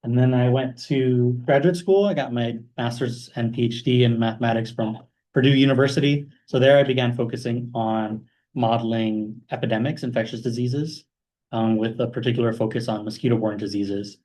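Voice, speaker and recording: A young man from Hawaii giving an informal talk about his career as a guest speaker, relaxed, with many fillers. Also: medium pitch, normal speed. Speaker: guest speaker, math postdoc.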